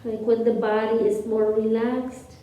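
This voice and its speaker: A woman with a Polish accent guiding a slow yoga class in soothing, gently paced cues with long pauses. She speaks English as a second language. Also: a little high, little pitch movement. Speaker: yoga instructor. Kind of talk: guided yoga class instruction. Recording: echoey room from far off.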